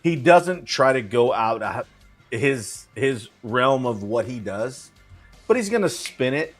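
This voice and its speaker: Male, 30s, ex-pitcher panelist. Casual, blunt sports talk, mid-range voice, music underneath. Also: sing-song, takes it slowly. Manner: blunt, profane, repetitive.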